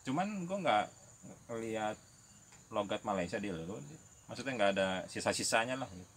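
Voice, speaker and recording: A man hosting a relaxed chat asks pointed, slangy questions in with a tinge. His voice is low but bright and forceful. Crickets hum faintly in the background. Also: moderate pace. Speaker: host. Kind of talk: casual interview chat.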